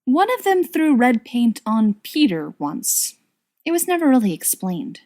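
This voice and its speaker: A woman's voice: fairly high pitch, big pitch swings, unhurried, mellow. A woman reading a scripted fiction story aloud as an audiobook narrator. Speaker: audiobook reader.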